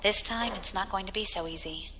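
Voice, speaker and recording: A woman's voice: medium pitch, a little fast, sharp tone, a little hiss or hum, close to an everyday voice. An actress playing a battle-hardened, proud young female pirate captain with a wry storytelling manner in a science fiction audio drama. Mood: confident.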